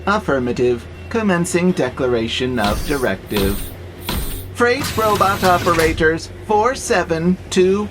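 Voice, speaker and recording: An actor playing an obedient, literal-minded freight robot in an audio drama, with a pedantic, matter-of-fact manner. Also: male voice, high-pitched, exaggerated voice, rough recording. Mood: stiff, dutiful. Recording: clear steady background noise, vehicle-like hum.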